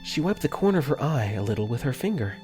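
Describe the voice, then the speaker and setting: A man playing a gentle young dorm caretaker. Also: medium pitch, big pitch swings, fast talker, natural voice. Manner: gentle, narrating his own thoughts. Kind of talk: first-person visual novel narration. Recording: faint music under the voice.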